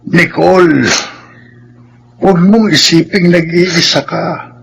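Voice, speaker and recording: An actor in a Filipino radio drama playing a concerned, protective older uncle who admonishes earnestly. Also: male voice, high-pitched, steady tone, slow, gravelly, husky, heavily acted, rough recording. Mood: grave, admonishing.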